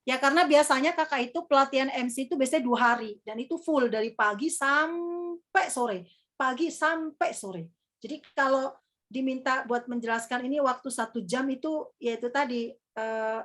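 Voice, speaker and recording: Young woman, high-pitched, easygoing trainer with a rambling, filler-heavy delivery. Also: moderate pace, fairly bright tone. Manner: chatty, calls herself Kakak, many fillers. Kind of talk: casual workshop teaching.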